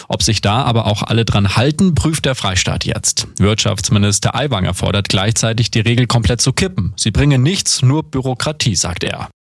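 A man's voice: medium pitch, slightly rough, dry recording, clean studio sound. A man reading scripted radio news in pronunciation.

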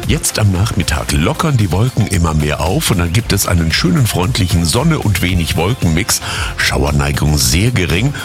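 Tiefer, sehr melodischer Radiomoderator. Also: male voice, low-pitched, big pitch swings, normal speed, over music. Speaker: radio presenter. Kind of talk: chatty music radio presenting.

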